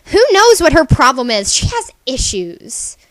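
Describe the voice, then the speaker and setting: Woman voicing a very high, bubbly scolding alien sea girl. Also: big pitch swings, measured pace, no echo, low-quality recording. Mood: teasing, indignant. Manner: exclamatory, teasing, emphatic. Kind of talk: character voice reel for a webcomic.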